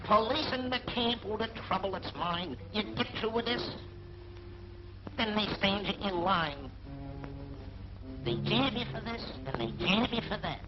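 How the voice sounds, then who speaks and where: An actor playing a brash, whiny young army private who gripes in slangy rhyme in a 1940s wartime cartoon. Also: male voice, high voice, animated, a little slow, long pauses. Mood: griping. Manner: complaining, slangy, sing-song rhyming delivery. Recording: dull 1940s film soundtrack, loud music.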